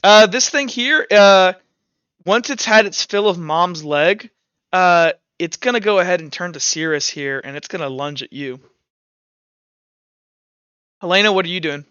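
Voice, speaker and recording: High, bright-voiced man running a game. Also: unhurried, pauses often, clean tone, dry recording, lo-fi sound. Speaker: game master. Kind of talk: improvised tabletop game narration.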